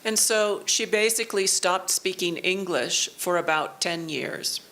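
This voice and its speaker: A woman delivers an academic lecture in a university auditorium. Her voice sits fairly low and she speaks very slowly, in short measured phrases with pauses between them. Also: a bit bright, low-quality recording. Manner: slow, measured, pausing for the interpreter. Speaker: keynote lecturer. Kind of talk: academic lecture in English with consecutive interpreting.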